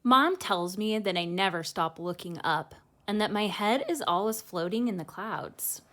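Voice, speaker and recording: A woman voicing an excited, confident little girl who loves space, in an animated storybook read-aloud. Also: medium pitch, a little slow. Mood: proud, dreamy.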